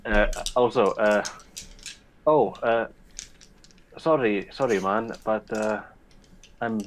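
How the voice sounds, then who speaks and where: A man's voice: on the low side, slow, slight background noise. A man putting on a hammy Icelandic-accented local. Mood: apologetic. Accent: put-on Icelandic. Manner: overly polite, fumbling. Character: apologetic local man shrunk to tiny size.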